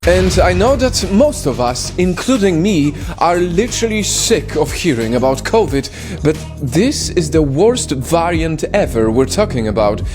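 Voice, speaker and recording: A man's voice: on the high side, sing-song, unhurried. A man narrating a scripted explainer video in an emphatic way, posing rhetorical questions as he presents. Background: music.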